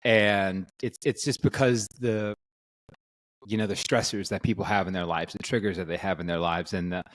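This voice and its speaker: Low-voiced coach guy on a podcast, earnest, restarts a lot, dry close sound. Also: steady tone, normal speed, dry recording. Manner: earnest, reflective, restarts his sentences. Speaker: podcast guest, fitness coach. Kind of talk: podcast discussion.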